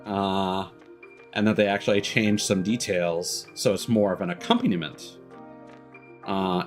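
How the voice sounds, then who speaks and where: Man, low voice, casual stream commentary, rambling, candid, dry, faint music. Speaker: game streamer.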